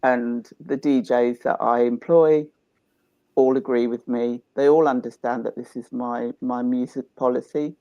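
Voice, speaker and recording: A man's voice: mid-range voice, steady tone, measured pace, dark tone, no echo, muffled recording. A man who runs dance events, speaking passionately and emphatically in a panel discussion. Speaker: event organiser.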